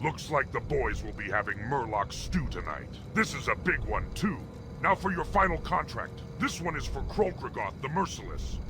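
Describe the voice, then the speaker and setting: Lively orc guild master. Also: male voice, medium pitch, normal speed, noisy background. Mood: gleeful. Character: hearty scheming orc hunting guild master. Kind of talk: video game character dialogue.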